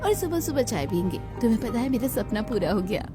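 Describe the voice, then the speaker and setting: A woman voicing a dreamy, eager, excitable young bride in a cartoon story. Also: a little high, a little fast, music in the background. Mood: excited.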